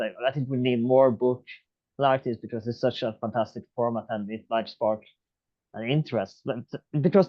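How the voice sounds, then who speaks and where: Halting Scandinavian podcast host, man. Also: medium pitch. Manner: halting, restarts and repeats words. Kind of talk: podcast interview introduction. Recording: dull lo-fi audio.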